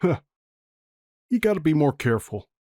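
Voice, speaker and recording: Man voicing a wry, animated skeleton lab assistant. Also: fairly high pitch, moderate pace. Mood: easygoing. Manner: casual, hesitant, dryly joking. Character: laid-back, wry young male skeleton lab assistant. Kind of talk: casual comic-dub voice acting. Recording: faint music behind the voice.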